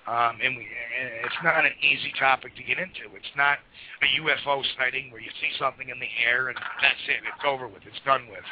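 Hoarse guy hosting talk radio, rambly, lots of um and you know, kinda muffled sound. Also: mid-range voice, slight background noise. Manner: rambling, with many fillers and restarts. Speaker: radio show host. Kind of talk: casual call-in radio talk.

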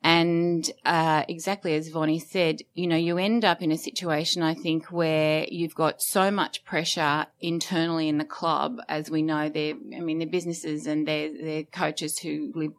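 A woman, a foundation director, talking on a radio panel in an animated, rambling way with colourful phrases. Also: low-pitched, normal speed, few pauses. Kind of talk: casual radio panel chat.

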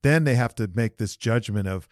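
A man's voice: medium pitch, normal speed, no echo, studio quality. Man ranting casually about referees. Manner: animated, opinionated, slangy. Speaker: podcast co-host. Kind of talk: casual sports chat.

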